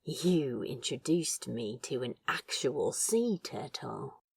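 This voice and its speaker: A woman's voice: a bass voice, animated, slow pace, vocal fry, heavily acted. A woman playing a smitten, awkward but earnest man on a first date in an audio story, sincere and teasing. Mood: earnest, tender.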